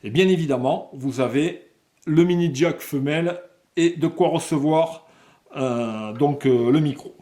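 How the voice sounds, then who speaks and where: A man presenting a product unboxing, explaining technical details step by step and sometimes halting to find words. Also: on the high side, warm tone. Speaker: product presenter for a motorcycle accessories shop.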